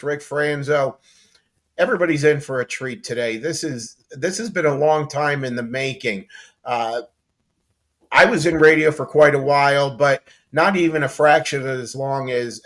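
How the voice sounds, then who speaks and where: A man's voice: a little high, a bit bright. A man hosting a talk show in a conversational interview style.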